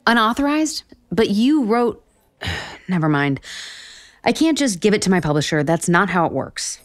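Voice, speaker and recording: An actress playing a flustered, self-deprecating author in a romantic comedy audio drama, with an American accent and halting, deadpan asides. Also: female voice, medium pitch, lightly acted. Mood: awkward, exasperated. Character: flustered, sardonic, self-deprecating woman author on book tour.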